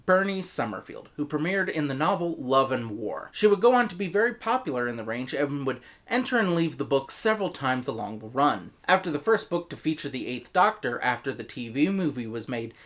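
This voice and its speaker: A man with an American accent narrating a scripted review as host, in an expressive way. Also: fairly high pitch. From United States.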